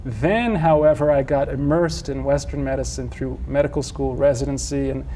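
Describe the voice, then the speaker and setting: A middle-aged man from Switzerland, a physician and homeopath, giving measured explanatory interview answers with frequent restarts. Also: mid-range voice, fairly even intonation, mellow, slight background noise, low-quality recording.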